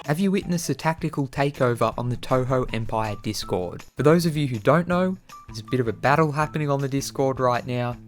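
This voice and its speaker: A man's voice: medium pitch, brisk, over music. A man in his early twenties with an Australian accent, chatting casually and playfully as he answers viewer questions. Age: early 20s. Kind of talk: casual Q&A video chat.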